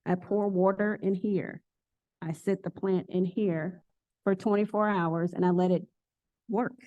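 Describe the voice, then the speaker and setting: A woman's voice: medium pitch, fairly even intonation, measured pace, dark tone, no echo. A woman who owns a plant shop, talking earnestly and casually to an audience and answering their questions. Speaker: plant shop owner giving a talk. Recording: tinny phone-like sound.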